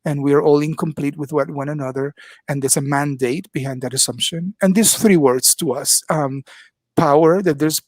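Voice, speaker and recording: A man's voice: a little high, fairly even intonation, no room sound. Older man, thoughtful headset voice. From Dominican Republic. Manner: thoughtful, frequent ums, measured. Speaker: panelist, minister of worship arts. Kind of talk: reflective panel talk. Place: home kitchen. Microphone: headset on video call.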